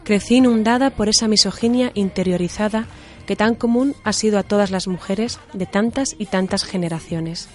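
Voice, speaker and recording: A woman's voice: mid-range voice, darkish tone. A young adult woman reading a reflective personal testimony.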